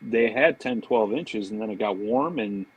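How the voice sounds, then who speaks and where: Midwestern guy just chatting, low husky voice, says man and you know a lot, dry sound. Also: moderate pace, no room sound, poor audio. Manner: informal, anecdotal, peppered with "man" and "you know". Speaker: podcast guest, fishing marketing director. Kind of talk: casual chat.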